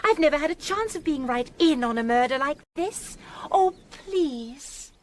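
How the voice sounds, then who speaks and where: An actress playing a pert, teasing and excitable young lady in a radio drama. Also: sits high, measured pace, a little hiss or hum. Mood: eager. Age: young adult.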